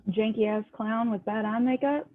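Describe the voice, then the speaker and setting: A woman's voice: a little high, steady tone, unhurried. A woman playing a tough, sardonic lounge singer with a dry, unimpressed manner in an amateur script read. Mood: dry, guarded. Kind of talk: amateur screenplay table read. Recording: tinny, phone-like video-call audio.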